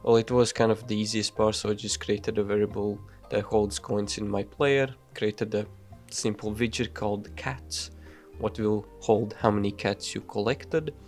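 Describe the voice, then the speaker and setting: Offhand, swearing young game dev. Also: male voice, on the low side, flat delivery, a little mellow, faint music behind. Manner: loose and offhand, frequent swearing and fillers; self-deprecating, hurried. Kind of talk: casual voice-over devlog narration.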